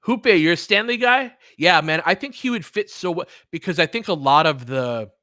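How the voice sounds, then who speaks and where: A man's voice: fairly high pitch, animated, moderate pace, bright, forceful tone, no room sound, clean studio sound. A man hosting a live sports stream, chatting casually and informally, thinking aloud as he gives his commentary. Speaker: sports podcast host. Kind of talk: casual live basketball commentary, replying to chat.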